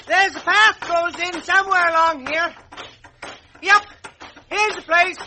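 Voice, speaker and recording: An actor playing a cocky, slangy wooden toy marine captain in a 1940s children's radio drama. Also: male voice, very high-pitched, measured pace, pushed and bright, slight background noise, full character voice. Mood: brisk, commanding. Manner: slangy, blustering, commanding.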